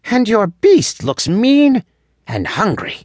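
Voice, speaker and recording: A man voicing a tiny, proud male elf in a high-pitched storybook voice, with grudging and old-fashioned formal speech. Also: wildly swooping pitch, takes it slowly, a little mellow, dry recording, clean studio sound, full character voice. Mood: suspicious, scornful. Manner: grudging, archaic formal phrasing. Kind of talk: audiobook character voice.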